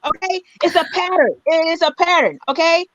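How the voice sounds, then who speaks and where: A woman's voice: very high voice, brisk, a crowd in the background. A woman gossiping bluntly on a video call, repeating herself and using slang. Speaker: co-host on a call-in video chat. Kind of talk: casual gossip chat. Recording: slightly dull video call audio.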